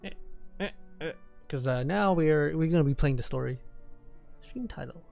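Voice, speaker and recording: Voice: medium pitch, normal speed, gravelly, mellow. A game streamer giving casual live commentary, muttering and repeating phrases to themselves while playing. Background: game music.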